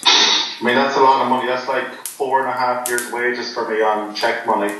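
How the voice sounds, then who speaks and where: A man chats casually in a deadpan, jokey way, wandering through his points with plenty of ums. He sounds far from the microphone in a very echoey room, with faint noise behind him. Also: mid-range voice, moderate pace. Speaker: poker player being interviewed. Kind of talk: joking casual chat.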